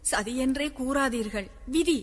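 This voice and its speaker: A woman's voice: sits high, measured pace. A woman playing an anxious, devoted queen in a historical radio drama, formal and pleading. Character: devoted, anxious queen counselling her husband the king. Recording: long hall-like reverb.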